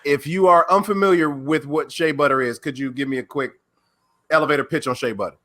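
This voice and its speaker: Quick bright-voiced guy cohosting, stumbly and playful. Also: on the high side. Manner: fast, stumbling, playful interjections. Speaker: podcast co-host. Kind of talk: casual podcast interview.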